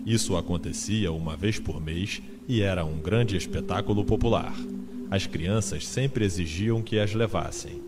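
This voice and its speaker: A man's voice: low-pitched, normal speed. A man narrating a literary audiobook in a dramatized style. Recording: noisy ambient sound-effects bed. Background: ambient sound effects.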